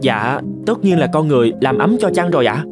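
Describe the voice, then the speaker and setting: A man's voice: on the high side, big pitch swings, brisk, loud music behind the voice. A man voicing a young novice monk in a cartoon folk tale, polite, earnest and deferential to his master. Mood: respectful. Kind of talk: animated folk tale dialogue.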